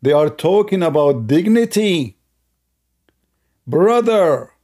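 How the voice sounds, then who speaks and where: Guy chatting loosely, lots of "you know"s, warm mellow tone. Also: on the high side, sing-song, slow pace. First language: Arabic. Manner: loose, talky storytelling with non-native grammar and frequent fillers. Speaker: commentator. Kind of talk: casual commentary while showing a video on screen.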